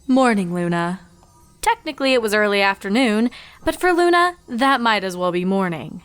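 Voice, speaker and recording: A woman's voice: fairly high pitch, big pitch swings, fairly quick, a bit bright, clear, resonant tone, dry recording. A woman reading a story aloud as narrator, in scripted storytelling style. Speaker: fan fiction reader and narrator.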